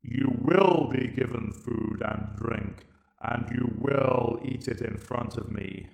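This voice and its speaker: A man voicing a cold, slow alien machine emperor that talks in a flat, deliberate monotone. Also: mid-range voice, vocal fry, reverberant, low-quality recording.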